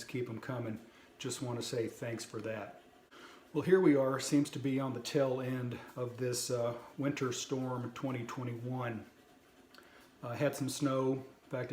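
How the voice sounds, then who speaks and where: A man talks casually to his viewers as a video host, folksy and plainspoken. His voice sits at an average pitch. The recording is clearly roomy and echoing, with slight noise behind him. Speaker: host of a prepper video channel. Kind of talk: casual product review talk to viewers. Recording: roomy echo, light background noise.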